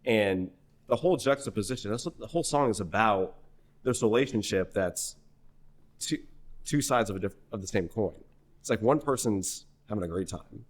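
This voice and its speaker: A young man from New Hampshire, a singer-songwriter guest in a podcast interview, telling a story in a rambling, animated way full of restarts. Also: lowish voice, moderate pace. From New Hampshire, USA. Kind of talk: casual conversational interview.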